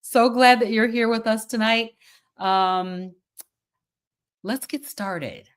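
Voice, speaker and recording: A woman's voice: a little high, slow, clear, resonant tone. An older woman hosting an interview show, chatty and enthusiastic, rambling with fillers. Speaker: podcast host. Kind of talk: casual interview.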